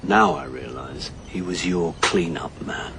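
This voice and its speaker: An actor playing a wry middle-aged amateur sleuth with an English accent, dry and understated in a radio drama. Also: male voice, deep voice, wildly swooping pitch, a little slow, creaky, noisy background, heavily acted. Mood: quietly accusing. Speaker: narrator and lead actor. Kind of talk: radio drama dialogue and first-person narration.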